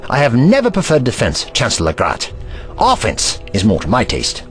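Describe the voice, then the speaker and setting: A man's voice: mid-range voice, huge pitch swings, normal speed, faint music behind. A man voicing a haughty, eccentric time-traveling scientist in an audio drama, pompous and lecturing.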